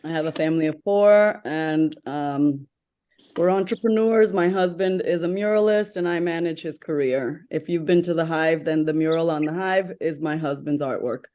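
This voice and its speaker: A woman introducing herself on a video call, chatty and relaxed with frequent ums. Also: low voice, normal speed, a little hiss or hum. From lives in Orlando, Florida. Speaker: guest, entrepreneur mother. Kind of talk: casual video-call chat.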